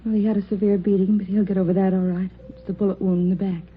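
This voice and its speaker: An actress playing a matter-of-fact, clinical hospital nurse in a 1950s radio drama. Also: female voice, mid-range voice, little pitch movement, very fast, slight background noise, natural voice. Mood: clinical, grave. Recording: tinny, narrow vintage radio recording.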